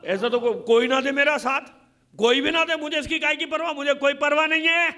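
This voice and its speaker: A man's voice: extremely high pitch, a little fast, pushed and bright. A man preaching an impassioned majlis sermon in a combative, declamatory way, using rhetorical questions and emphatic repetition. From Pakistan.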